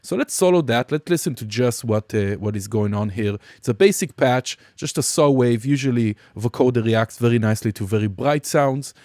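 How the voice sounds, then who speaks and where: Man giving a dry, close-miked software tutorial. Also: medium pitch, moderate pace, few pauses, no echo. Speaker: music production tutorial instructor. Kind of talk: casual software demonstration.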